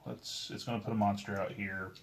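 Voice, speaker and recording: A man's voice: lowish voice, brisk, very noisy. A man chatting casually through a tabletop game at a table, joking to viewers now and then. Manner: lively, jokey asides to viewers. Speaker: board game player and show regular. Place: room around a game table.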